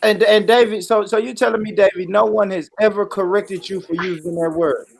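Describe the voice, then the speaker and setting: A man's voice: high-pitched, fairly even intonation, brisk, vocal fry. A man hosting a livestream group call, repeating himself as he calls on people and mutes mics. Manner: repeats himself while managing callers. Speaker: livestream host.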